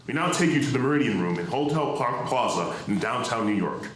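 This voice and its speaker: A man's voice: medium pitch, animated, brisk, lightly acted. A man playing a formal, impersonal 1930s radio weather announcer in a radio play. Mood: formal, detached. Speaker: actor. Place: live theatre stage. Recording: very echoey live stage, faint noise.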